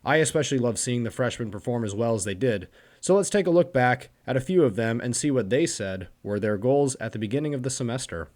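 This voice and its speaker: A man's voice: mid-range voice, animated, a little fast, a little mellow. A college-age man reading a scripted podcast narration as host. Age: college-age young adult. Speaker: podcast host, swim team senior captain.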